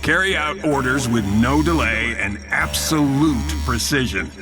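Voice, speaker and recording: An actor playing a grave, urgent military commander in a radio drama, with a commanding, deliberate, theatrical delivery. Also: male voice, medium pitch, music in the background, lightly acted. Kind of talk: cinematic radio drama acting.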